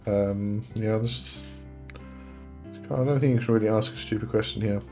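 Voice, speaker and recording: A man's voice: on the low side, steady tone, moderate pace, warm tone, muffled recording, faint music behind. A man in his forties with an English accent, hosting a casual live chat and answering viewers' questions, rambling and correcting himself as he goes. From England. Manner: rambling, self-correcting, chuckles often.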